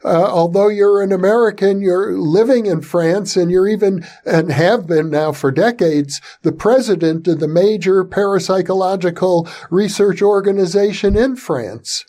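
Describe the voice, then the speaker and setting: A man hosts an interview and introduces his guest in a measured, formal manner. His voice sits a little high for a man. He then moves into easy back-and-forth chat. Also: mellow. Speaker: host, interviewer. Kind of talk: interview hosting.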